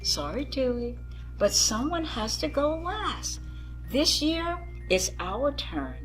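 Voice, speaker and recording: A woman voicing a kindly but firm female schoolteacher, measured and reassuring, in a storybook reading. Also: high voice, very animated, over music, full character voice, low-quality recording.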